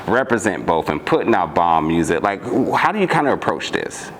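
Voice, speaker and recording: A man's voice: sits low, big pitch swings, a little fast. A man interviewing a guest, friendly and chatty, asking long rambling questions with casual slang.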